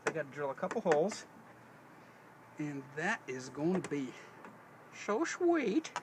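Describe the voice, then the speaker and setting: A man's voice: a little high, wildly swooping pitch, measured pace. A middle-aged man giving a casual hands-on how-to, thinking aloud in a folksy way as he works inside a vehicle. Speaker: DIY demonstrator. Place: inside a parked Jeep. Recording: noisy handheld recording in a vehicle.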